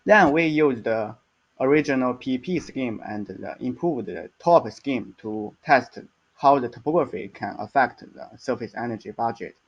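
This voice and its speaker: Male scientist, mid-pitched, presenting technical slides haltingly; muffled lo-fi recording. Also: husky. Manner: halting, hesitant delivery.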